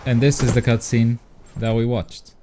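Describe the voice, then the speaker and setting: A man's voice: medium pitch, level tone, moderate pace, noisy background. A man hosting a livestream, chatting casually about a game in a wry, joking manner. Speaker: livestream host. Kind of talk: casual gaming stream commentary.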